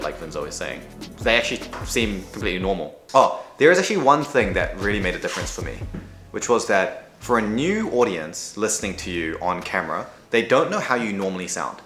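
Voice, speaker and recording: Man, mixed Kiwi-Aussie-American accent, lowish voice, reflective and jokey, roomy studio with music under it. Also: fairly bright tone. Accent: mixed New Zealand, Australian and American. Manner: reflective, joking, many fillers. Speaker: education creator. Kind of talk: casual behind-the-scenes chat.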